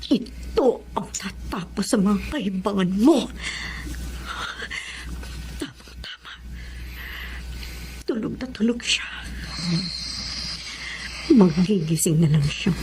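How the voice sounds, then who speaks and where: An actress playing a long-suffering, bitterly resolute wife in Filipino radio melodrama, with slow, emotional delivery. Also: female voice, mid-range voice, lively intonation, mellow, slight background noise. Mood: cold vengeful resolve.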